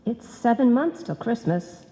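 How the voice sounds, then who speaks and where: A woman acts a worried cousin in an old-time radio comedy. Her mellow voice rises and falls freely as she voices doubts hesitantly, in a reverberant hall. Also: on the high side, very animated, a little slow. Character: doubtful, fretful female cousin and business partner. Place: on stage in a live performance. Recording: live hall recording, long reverb.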